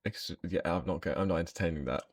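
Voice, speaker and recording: A man's voice: low-pitched, fast talker, dry recording. A young man co-hosting a podcast, chatting casually and playfully, often going off on tangents. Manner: playful, jokey, prone to tangents and humming songs. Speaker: podcast co-host. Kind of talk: casual chat.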